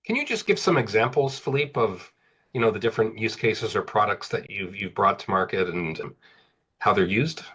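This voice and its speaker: Bright-voiced male interviewer, dull audio. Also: lowish voice, lively intonation, moderate pace, slightly rough. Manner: upbeat, hesitant, many fillers. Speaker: interviewer, host. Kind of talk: interview questions.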